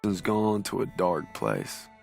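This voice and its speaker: A man's voice: deep voice, very animated, measured pace, creaky, soft background music, exaggerated voice. A man playing a weary young American soldier in a war game, narrating his losses in a somber, reflective way. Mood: somber resolve. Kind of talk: scripted first-person war narration.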